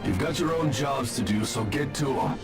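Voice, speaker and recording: A man's voice: mid-range voice, animated, moderate pace, natural voice. A man playing a cold military overseer in a video game, contemptuous and commanding. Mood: dismissive. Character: callous, domineering male military overseer. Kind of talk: video game cutscene voice acting. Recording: loud music over the voice.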